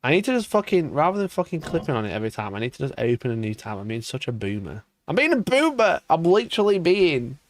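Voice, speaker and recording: A man's voice: a little high, big pitch swings, fast talker, few pauses. A man in his thirties chatting casually on a live stream, reacting to old clips, swearing and laughing at himself. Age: 30s. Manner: swearing, laughing, self-mocking.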